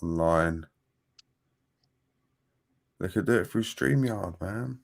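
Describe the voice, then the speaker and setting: Very deep-voiced blunt man. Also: slow, gravelly. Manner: blunt, offhand, swears freely. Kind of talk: casual chat.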